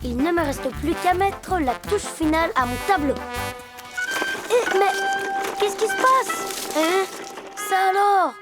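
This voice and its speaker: A woman playing a cautious, earnest, fretful little boy in a French children's cartoon, childlike and expressive. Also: extremely high pitch, slow, long pauses, exaggerated voice. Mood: pleased, surprised. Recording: loud music playing behind.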